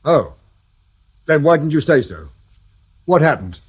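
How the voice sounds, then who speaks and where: An actor playing an exasperated police superintendent in a radio crime drama, clipped and disapproving, with a British accent. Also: male voice, a little high, very animated, measured pace, breathy edge, heavily acted. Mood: stern.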